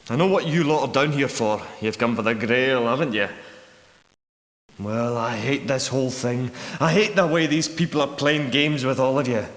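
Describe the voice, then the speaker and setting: An actor playing a weary, haunted war veteran trapped in caverns, speaking in a confiding, dramatic way as video game dialogue. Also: male voice, medium pitch, a bit bright, natural voice. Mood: urgent, friendly. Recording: echoey reverberant cavern-like sound.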